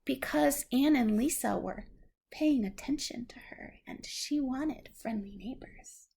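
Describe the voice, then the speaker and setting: A woman's voice: high-pitched, measured pace, creaky, a little mellow, breathy edge. A woman reading a story aloud to a young child, patiently explaining words in simple terms. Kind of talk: slow read-aloud storytelling with questions to a child.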